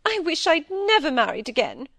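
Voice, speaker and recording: A woman's voice: on the high side, sing-song, brisk, dry recording, voice pushed up. A woman reading an audiobook, voicing a middle-aged wife who is fretful, wheedling and full of plaintive excuses. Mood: tearful, aggrieved. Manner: plaintive, pouting, evasive.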